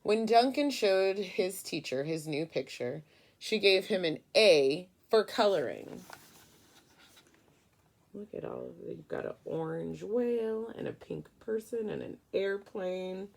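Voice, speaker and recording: A woman's voice: on the low side, creaky, a little mellow. A woman teacher reading a picture book aloud to children in an instructive, questioning way. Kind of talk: children's read-aloud lesson.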